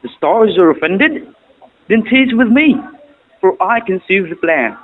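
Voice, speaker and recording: A man's voice: high-pitched, animated, measured pace. A man playing a proud, idealistic emperor in a stage drama, delivering courtly lines in a grand, formal manner. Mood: proud, resolute. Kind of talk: stylized stage drama recital of poetic lines. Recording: muffled phone-like audio.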